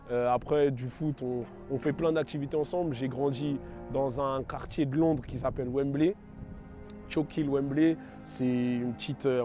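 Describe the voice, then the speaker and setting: Jeune homme à l'de banlieue parisienne, timbre sombre, parle en argot, hésitant et décousu. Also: male voice, a little high, warm tone. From France, raised in London. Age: 20s. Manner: slangy, rambling, many fillers and self-corrections. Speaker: testimony giver. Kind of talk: casual spoken testimony.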